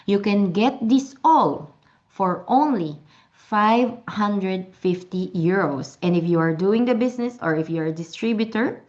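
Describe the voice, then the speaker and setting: A woman's voice: fairly low pitch, highs cut off. A woman whose first language is Tagalog, chattily demonstrating and selling a product with many restarts and fillers. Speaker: product seller.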